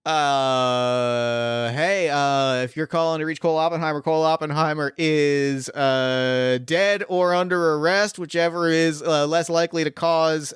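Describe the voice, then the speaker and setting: A man playing a smooth-talking arms dealer. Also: a little high, a bit bright, no echo, clean studio sound, lightly acted. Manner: smooth salesman patter, placating. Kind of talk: improvised tabletop roleplay.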